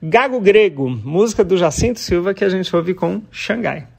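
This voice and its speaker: A man presenting songs on a radio music show, chatting in a relaxed way with small asides. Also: high voice, normal speed, creaky.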